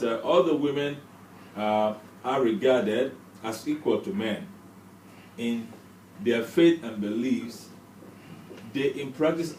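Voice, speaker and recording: Male radio host, halting with ums and uhs, far from mic, roomy sound. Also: mid-range voice, a little mellow. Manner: hesitant, many fillers. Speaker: radio talk show host. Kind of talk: radio panel discussion. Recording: distant mic, echoey room, background noise.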